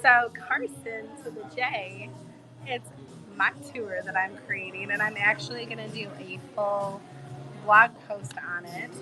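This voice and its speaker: A woman travel vlogger with a cold, her voice a bit hoarse, chatting in a bubbly, gushing way to her live viewers from a bar. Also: mid-range voice, poor audio. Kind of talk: casual live-stream chat with viewers. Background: music playing in the bar.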